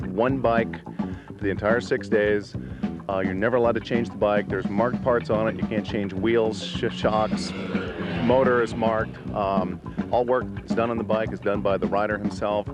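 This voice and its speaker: A man, a magazine editor, explaining a race in a plain, matter-of-fact way. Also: on the low side. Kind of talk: casual explanation.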